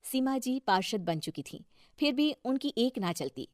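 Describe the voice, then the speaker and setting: A woman's voice: on the high side, big pitch swings, moderate pace, no room sound, studio quality. A woman reading scripted narration for a moral story as the storyteller.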